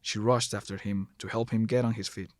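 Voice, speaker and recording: A man's voice: on the low side, fairly even intonation, a little fast, no echo. A man narrating a scripted horror story as the narrator, recorded close to the mic.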